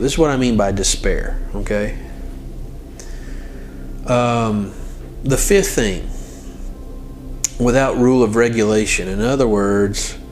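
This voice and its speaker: A man's voice: fairly low pitch, darkish tone. A man giving an informal devotional lesson as host, speaking directly to the listener and repeating phrases for emphasis. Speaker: host and religious teacher. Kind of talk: informal devotional teaching to camera or mic.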